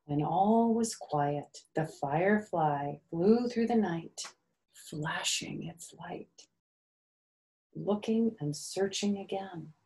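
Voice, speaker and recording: Slow-paced older woman reading a storybook. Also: on the low side, dark tone, poor audio. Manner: asks the listeners simple questions and adds asides. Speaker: storyteller reading a picture book to children. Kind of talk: read-aloud storytime for young children.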